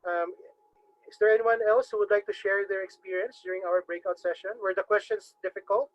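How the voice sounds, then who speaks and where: A man with a Filipino accent teaching a webinar, formally addressing the audience as ladies and gentlemen. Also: high voice, fairly even intonation, fairly quick. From Philippines. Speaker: webinar trainer. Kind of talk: instructional webinar talk. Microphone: video call microphone. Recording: dull lo-fi webinar audio.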